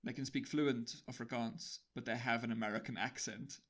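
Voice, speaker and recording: A man's voice: medium pitch, fairly even intonation, moderate pace, a bit bright. A man from Africa, interviewing a guest in a casual, chatty conversation. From South Africa.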